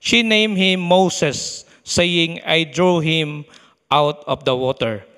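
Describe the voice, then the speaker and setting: A man with a Filipino accent and Tagalog as his first language, preaching at a church with a halting, slightly nervous, reverent manner, recorded in a reverberant hall. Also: a little high, pushed and bright. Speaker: church preacher, first-time guest speaker. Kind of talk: bilingual sermon with prayer and scripture reading.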